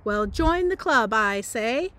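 A woman's voice: on the high side, animated, slow pace, pushed and bright, heavily acted. An American woman reading aloud as a cheeky, self-absorbed teenage girl diarist, with a wry, melodramatic manner. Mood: chirpy, cheeky. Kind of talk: expressive first-person audiobook-style reading.

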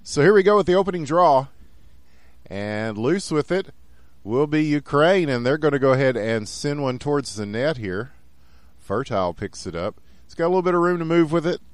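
A man with a Texan accent doing play-by-play commentary of a video game hockey match, getting excited and shouting on goals. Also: fairly high pitch, moderate pace, dry recording. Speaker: esports caster.

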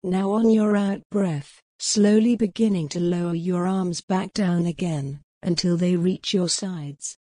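A woman guiding a mindfulness meditation in a calm, gentle, unhurried way of instructing. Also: lowish voice, no echo, studio quality. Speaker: meditation guide. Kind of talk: guided mindfulness meditation narration.

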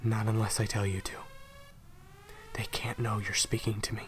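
A man playing a guilt-ridden, protective, foul-mouthed assassin in an audio roleplay, halting and repeating himself, often dropping to urgent whispers. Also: fairly low pitch, level tone, noisy background, close to an everyday voice. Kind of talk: audio roleplay drama addressed to the listener.

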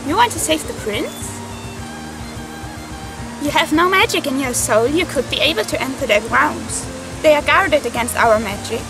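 Young woman doing a forest spirit lady, high voice, solemn and cryptic, amateur fairytale movie. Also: animated, normal speed, pauses often, music in the background, low-quality recording. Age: young adult. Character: calm, solemn female forest spirit protecting all creatures. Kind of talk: amateur fairytale film acting. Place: outdoors in woodland.

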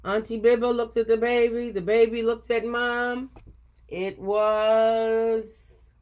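An older woman reading a children's picture book aloud expressively, with deliberate, emphatic phrasing. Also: on the high side, level tone, a little mellow. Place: home office room indoors. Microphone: webcam at desk distance.

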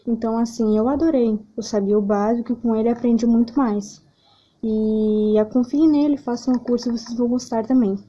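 A woman giving a relaxed, friendly selfie testimonial. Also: a little high, brisk. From Charqueadas, southern Brazil. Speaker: course student giving a testimonial. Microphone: phone at arm's length. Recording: dull lo-fi phone audio.

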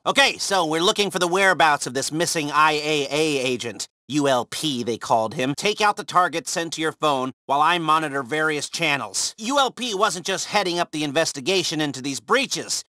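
A chatty, excitable man who organises heists in a video game. He rambles through briefings with nervous eagerness in a rather high voice, recorded very dry. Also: normal speed, no room sound. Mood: businesslike.

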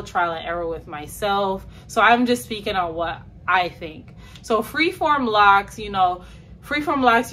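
A woman's voice: fairly low pitch, sharp tone, a little hiss or hum. A young woman from New York chatting to camera in her bedroom, talking casually with slang and playful asides. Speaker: hair vlogger. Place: small bedroom, indoors.

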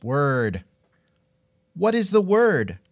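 A man with a lively, swooping delivery hosts a casual interview. He sounds wry and musing, and the recording is close and dry. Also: on the high side, very slow, gravelly, dry recording. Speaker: podcast host and interviewer. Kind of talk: casual scripted interview chat.

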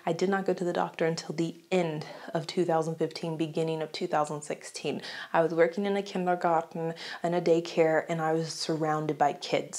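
A young woman from the United States, slightly congested from a cold, telling a casual, animated vlog story to camera with frequent laughs, recorded indoors on a lapel mic. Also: low-pitched. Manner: chatty, animated, laughs often, gestures with her hands. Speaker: YouTuber. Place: small home room indoors.

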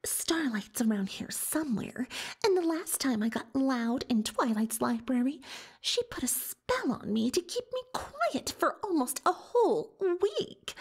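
A vain young female unicorn stage magician from a cartoon world, in an ASMR roleplay. Her voice is high and hushed, often dropping into a whisper. She takes her time, with sweeping melodic rises and falls, boasting theatrically and excusing herself with great self-importance. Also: full character voice. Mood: confiding, put-upon.

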